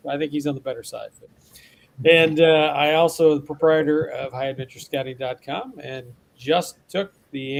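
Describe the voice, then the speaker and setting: A man's voice: on the high side, creaky. A man on a panel with dry, self-mocking humor who laughs often, on a video call.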